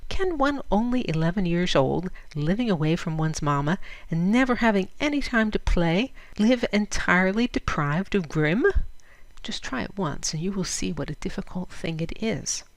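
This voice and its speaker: A woman narrating a literary story in a measured, expressive storytelling manner. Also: fairly low pitch, no room sound. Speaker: audiobook reader.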